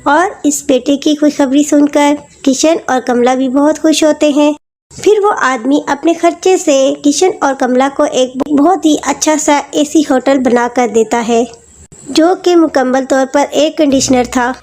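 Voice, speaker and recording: High-pitched woman narrating a story. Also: steady tone, a little slow, darkish tone. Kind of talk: scripted moral story narration. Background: faint music.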